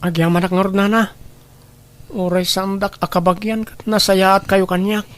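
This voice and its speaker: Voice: medium pitch, level tone, moderate pace, natural voice. An actor playing a poor, hardworking young boy in a radio drama, respectful and pleading. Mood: respectful, earnest. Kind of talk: Ilocano radio drama acting.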